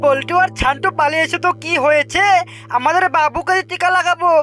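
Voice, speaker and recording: A woman's voice: very high-pitched, fairly quick. A woman voicing an insistent, scheming cartoon grown-up who scolds and coaxes. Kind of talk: Bengali cartoon dialogue. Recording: loud music under the voice.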